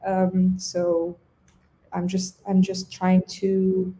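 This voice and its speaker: A woman's voice: lowish voice, completely flat delivery, very slow, warm tone, poor audio. A young woman from Bulgaria, a native Bulgarian speaker, chatting in an interview about her design work, thoughtful and restarting often. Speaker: guest designer. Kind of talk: casual interview chat.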